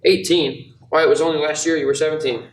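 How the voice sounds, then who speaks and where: A man's voice: on the high side, fairly even intonation, fast talker, slight background noise, close to an everyday voice. Man playing restless young dreamer in roomy recording. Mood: bashful. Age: young adult. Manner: earnest, bashful stammering. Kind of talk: amateur radio play acting. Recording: roomy, distant mic, music underneath.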